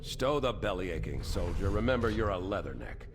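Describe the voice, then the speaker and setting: A man playing a stern, seasoned military captain in a video game, speaking with measured authority. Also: sits low, sing-song, background noise.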